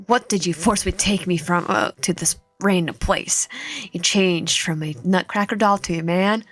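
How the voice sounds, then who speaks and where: A woman's voice: on the low side, normal speed, fairly bright tone. Woman playing a bewildered dreamer, music underneath. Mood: baffled. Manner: incredulous. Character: bewildered, sceptical dreamer pulled into a fantasy world. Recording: music behind the voice.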